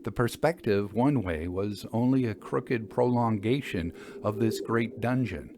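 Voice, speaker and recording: A man reading literary narration as a storyteller, with measured, deliberate phrasing. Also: on the low side, background noise. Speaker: storyteller, podcast host.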